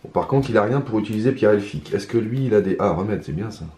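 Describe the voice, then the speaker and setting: A hoarse man talking his way through a solo card game in hesitant, mumbled commentary. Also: lowish voice, expressive, fast, a little mellow. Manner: hesitant, mumbling, many restarts, muttering to himself. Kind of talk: casual solo gameplay commentary. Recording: roomy echo, faint ambience.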